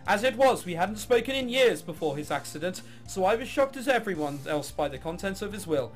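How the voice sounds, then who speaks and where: A man's voice: sits high, sing-song, fairly quick, faint music behind. A man voicing a jaded young woman, a reluctant orchestra heiress in a visual novel, with sarcastic, bored delivery. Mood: wry narration.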